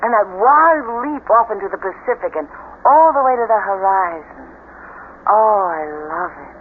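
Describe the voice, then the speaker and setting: An actress playing an eager, anxious new bride in an earnest, hesitant radio mystery style. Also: female voice, mid-range voice, animated, normal speed, natural voice. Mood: enchanted. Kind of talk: radio mystery drama acting. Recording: tinny band-limited vintage radio, clear hiss.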